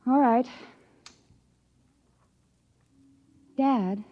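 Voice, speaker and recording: A woman's voice: on the high side, takes it slowly. An actress playing an earnest young woman raised underground, in old radio drama style on a dull recording. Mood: wistful, curious. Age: young adult. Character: earnest young woman interviewer living underground, devoted daughter.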